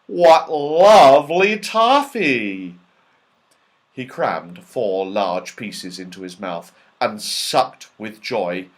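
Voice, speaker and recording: A man narrating a children's storybook in an expressive, dramatic way. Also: low voice, slow pace, hardly any pauses. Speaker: audiobook reader.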